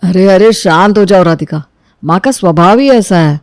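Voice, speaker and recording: A woman's voice: on the low side, lively intonation, moderate pace, a little mellow, dry recording, studio quality. A woman playing a young husband in a story, mild and appeasing, caught between wife and mother. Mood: placating.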